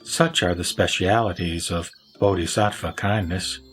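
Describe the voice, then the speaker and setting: A man's voice: sits low, steady tone, measured pace, fairly bright tone, soft background music. A man narrating scripture from a script in a solemn, formal manner.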